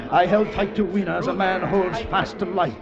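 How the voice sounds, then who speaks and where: A man's voice: sits high, fairly even intonation, normal speed, gravelly, over a noisy crowd. An actor playing an earnest, anguished male time traveler in a 1950s radio drama, with dramatic, intense, literary delivery. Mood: tense recounting. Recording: dull old radio recording, music underneath.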